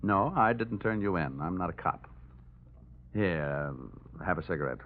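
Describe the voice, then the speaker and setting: Wry hard-boiled detective, tinny old radio. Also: male voice, low voice, lively intonation, a little slow. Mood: cool, wry. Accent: American. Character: wry, tough male insurance investigator narrating his own case. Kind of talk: 1950s American radio detective acting. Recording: tinny 1950s radio recording, music.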